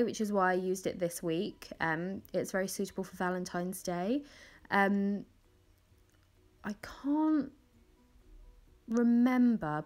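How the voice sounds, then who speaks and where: Chatty young woman, crackly morning voice. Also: mid-range voice. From England. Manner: chatty, rambling, with many ums and small laughs. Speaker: planner vlogger. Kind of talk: casual voice-over while planning.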